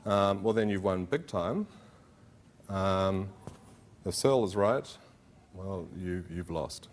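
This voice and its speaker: A man's voice: low-pitched, expressive, slow, a little hiss or hum. A middle-aged man giving a philosophy conference talk, conversational and hedging, with many fillers and restarts. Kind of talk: academic conference presentation.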